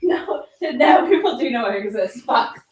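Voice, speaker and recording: A woman's voice: a little high, lively intonation, measured pace. A woman in casual, wry, sarcastic chat, recorded away from the microphone in a roomy space with some noise. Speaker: guest book YouTuber. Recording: roomy, distant mic with background noise.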